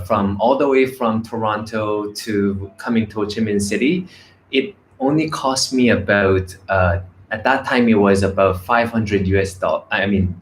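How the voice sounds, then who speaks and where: A man's voice: fairly low pitch, a little hiss or hum. A man, telling his story in a casual vlog with many fillers and restarts. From Korea. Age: 30s. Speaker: vlogger. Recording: echoey room with faint ambience.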